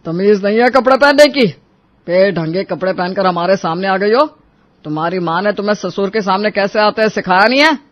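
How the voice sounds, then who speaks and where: A man playing a stern, scolding older father-in-law in a Hindi animated moral story, indignant and domineering. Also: high voice, fairly quick. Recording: dull, lo-fi audio.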